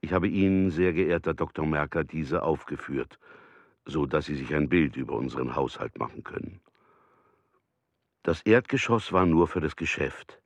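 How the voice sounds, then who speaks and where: An actor playing a formal German merchant in a documentary radio drama, reading out a letter in a measured, matter-of-fact way. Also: male voice, a bass voice, lively intonation, dry recording, full character voice.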